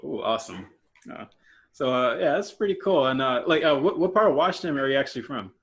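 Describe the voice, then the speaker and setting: A young man hosting a podcast interview, casual and halting, full of fillers and restarts. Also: mid-range voice, expressive, a bit bright. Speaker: podcast host.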